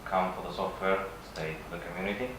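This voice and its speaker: Low-voiced Russian man in an echoey room. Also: brisk. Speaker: conference speaker. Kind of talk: conference presentation, semi-improvised. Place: conference session room. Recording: echoey room from far off, faint noise.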